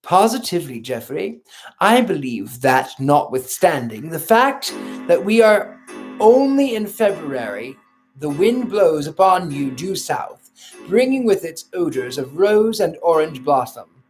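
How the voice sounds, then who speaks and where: A reader voicing a suave, sardonic gentleman who speaks in measured, ironic tones in a storybook reading. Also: medium pitch, very animated, faint music behind, rough recording. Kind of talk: audiobook reading.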